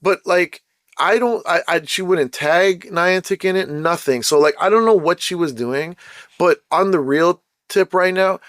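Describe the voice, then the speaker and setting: Host, man, casual blunt rant, slightly high voice, hoarse and fading, dry close sound. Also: measured pace, a bit bright, dry recording. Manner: blunt, emphatic, repeats points. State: losing his voice, throat-clearing. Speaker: channel host. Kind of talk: casual ranting commentary.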